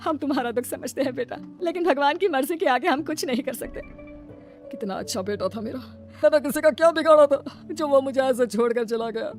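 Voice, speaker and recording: A woman's voice: high voice, animated, a little fast, a little mellow. A woman voicing a kindly, grieving older father-in-law in a cartoon family melodrama, earnest and consoling, with music behind.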